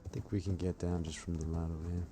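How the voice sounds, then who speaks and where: A man roleplaying a laid-back frontier man on horseback, teasing in a dry, low-key way. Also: fairly even intonation, quick pace. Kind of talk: in-character roleplay chat. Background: game music.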